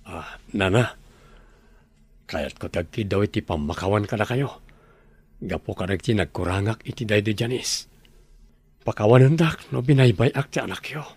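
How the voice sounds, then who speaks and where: A man's voice: lowish voice, lively intonation, normal speed, long pauses, a little mellow, slightly rough, dry recording. An actor with an Ilocano accent playing a boastful but devoted single father and elder brother in a radio drama. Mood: earnest.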